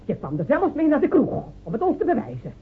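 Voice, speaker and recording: An actress in a radio play playing a fierce, scornful village woman with a taunting, sharp-tongued delivery. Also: high voice, huge pitch swings, fast, husky, full character voice. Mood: furious, scornful. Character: fierce, scornful village woman defending her dead man. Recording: tinny, noisy old radio drama recording.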